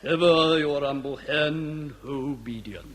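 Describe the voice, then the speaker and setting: A pompous manservant of Victorian times, somewhat high in voice. He speaks with servile, orotund formality for a comic radio play, with faint noise behind him. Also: slow pace, a bit bright. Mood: servile pride. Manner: servile, orotund, quaint grammar. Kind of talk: period comedy radio drama acting.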